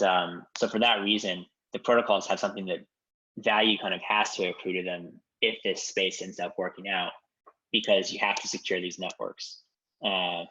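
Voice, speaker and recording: A man with a very low, flat voice that still sounds bright and forceful. He explains at a measured pace, filling pauses with ums. The sound is dull and lo-fi, as on a conference call line. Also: a little hiss or hum. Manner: measured, explanatory, frequent ums and you-knows.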